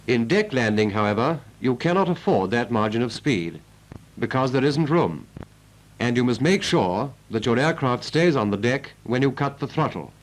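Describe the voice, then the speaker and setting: A man playing a brisk British naval instructor, narrating a 1940s training film in a clipped, didactic, emphatic way. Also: mid-range voice, animated, lightly acted. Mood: brisk, instructive. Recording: noisy vintage 1940s film soundtrack.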